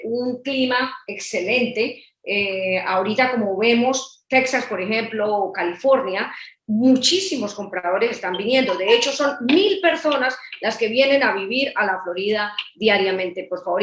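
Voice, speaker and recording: A woman from Colombia gives a promotional webinar talk, reeling off facts and figures. Her voice sits on the high side. The room around her is reverberant. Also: fairly bright tone. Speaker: real estate presenter. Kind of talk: webinar presentation.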